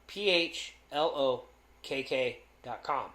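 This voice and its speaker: Loud guy rambling on a podcast, lots of ums, roomy echo. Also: medium pitch, slow pace. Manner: rambling, with many fillers and restarts. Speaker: podcast co-host. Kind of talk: casual podcast chat.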